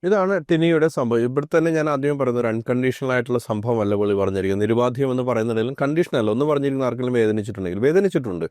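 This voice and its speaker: A man's voice: mid-range voice, racing, hardly any pauses, darkish tone, dry recording, studio quality. A man hosting a reaction video, speaking in a critical, argumentative commentary style. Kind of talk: spoken commentary.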